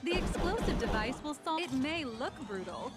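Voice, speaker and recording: A woman's voice: high voice, big pitch swings, moderate pace, over loud music. A woman voicing an enthusiastic, showy corporate guide who presents model kits in a scripted video game. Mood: proud, instructive.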